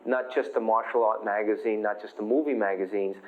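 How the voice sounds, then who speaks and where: An American man, a martial artist, telling an animated anecdote in an interview and acting out what people said. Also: fairly low pitch, fairly quick. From United States. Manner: animated storyteller, quotes people, fillers. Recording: thin phone-like sound.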